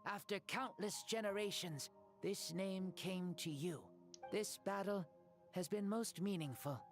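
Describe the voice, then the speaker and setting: A noble old warrior leader over music. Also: male voice, sits high. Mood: proud, respectful. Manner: formal, measured, solemn. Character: aged legendary male warrior leader, noble and warm. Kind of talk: dramatic video game voice acting. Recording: game dialogue under background music.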